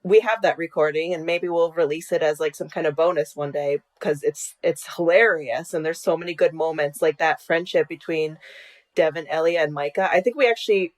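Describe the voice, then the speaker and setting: A woman's voice: low voice, fairly quick. A woman chatting casually as an interview guest on a video call. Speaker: podcast co-creator and showrunner, interview guest.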